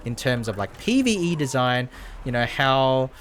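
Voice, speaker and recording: A man's voice: medium pitch, very animated, moderate pace, slight background noise. A man casually commentating on a game as he livestreams, rambling and often repeating his phrases. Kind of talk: casual gameplay commentary and chat.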